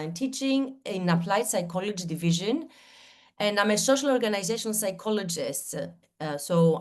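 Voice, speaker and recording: A middle-aged woman from Greece, a professor presenting her school's programs in short listed phrases with many fillers. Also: lowish voice. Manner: frequent fillers and restarts, listing in short phrases.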